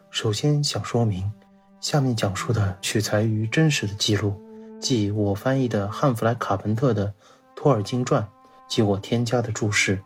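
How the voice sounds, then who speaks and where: A man speaking as a podcast guest, giving a scripted, storytelling narration. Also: on the low side.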